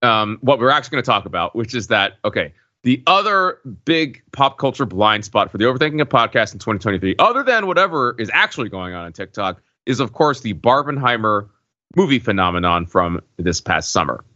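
A man hosting a podcast, discussing pop culture casually in a measured, organised, lightly ironic way, on a slightly dull recording. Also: on the low side, big pitch swings, bright, forceful tone, no echo. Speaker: podcast host.

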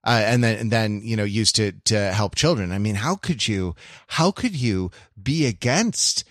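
A man co-hosting a podcast in a casual analytical discussion, speaking in halting, academic phrases and often checking in with his listeners. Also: on the low side, expressive, a bit bright, no room sound, clean studio sound. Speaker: podcast co-host.

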